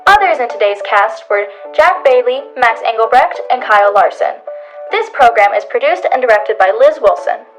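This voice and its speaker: High-voiced young female radio announcer. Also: animated, moderate pace, soft background music, rough recording. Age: young adult. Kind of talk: scripted radio credits announcement.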